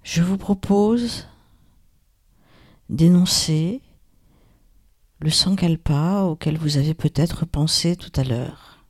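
A woman guides a relaxation meditation in a calm, soothing manner, leaving long pauses. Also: low-pitched. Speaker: yoga nidra guide, host.

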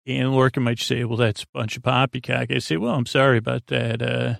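Creaky, monotone man rambling softly to lull. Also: mid-range voice, dry recording, studio quality. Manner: meandering, halting, hesitant, self-deprecating; lulling, soothing. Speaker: podcast host. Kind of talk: rambling bedtime podcast monologue.

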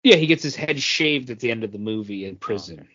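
A man co-hosting a casual entertainment news chat, halting as he searches for words. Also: on the low side, lively intonation, a bit bright. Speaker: podcast co-host presenting news. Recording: dull lo-fi sound.

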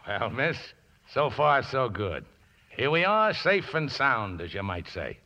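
An actor playing a rough, easygoing riverboat mechanic in a 1950s radio drama, deferential and folksy, with ungrammatical speech. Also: male voice, medium pitch, very animated, unhurried, bright, forceful tone, full character voice. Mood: cheerful, relaxed. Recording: dull, noisy vintage radio broadcast.

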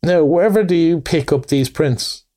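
A man reading aloud voices a cheery, bustling male water rat who talks in a brisk, hearty, encouraging way. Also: on the high side, mellow, voice pushed up, lightly acted. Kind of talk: storybook reading.

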